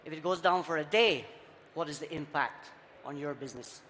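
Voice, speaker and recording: A man with a Scottish accent softened by years abroad, giving a punchy, rhetorical conference talk in short, repeated phrases. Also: a little high, normal speed. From Scotland. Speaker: conference speaker. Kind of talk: keynote talk on stage. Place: large conference hall. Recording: reverberant hall, faint background noise.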